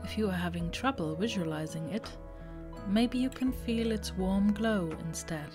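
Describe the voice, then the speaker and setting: A woman reading a guided meditation, giving slow, deliberate instructions in short phrases. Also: medium pitch. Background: relaxing background music.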